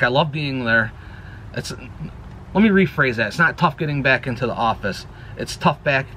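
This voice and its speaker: A chatty man with a bright, forceful voice talks through a loose channel update, stopping often to rephrase himself. He is recorded outdoors on action cameras, with faint noise in the background. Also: mid-range voice. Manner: rambling, self-correcting, chatty. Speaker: YouTube vlogger. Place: outdoors near a woodpile.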